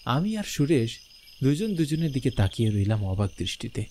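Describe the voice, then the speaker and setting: A man's voice: mid-range voice, very animated, normal speed, a little mellow. Male narrator, mid-range, for first-person scripted horror tale; light night-insect noise behind. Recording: background noise with night crickets.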